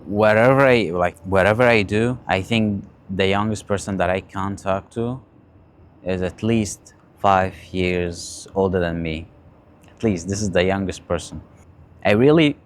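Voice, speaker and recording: A man in his late teens with a fairly low voice speaks casually and candidly, as in a personal vlog. His delivery is halting, and he often circles back to repeat a phrase before moving on. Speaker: vlogger sharing personal stories and advice. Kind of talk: casual confessional talk, storytelling.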